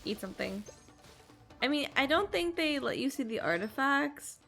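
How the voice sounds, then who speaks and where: Female streamer, casual gameplay chat, upbeat, fry in the voice, soft game music underneath. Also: high voice, lively intonation, normal speed. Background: faint game music.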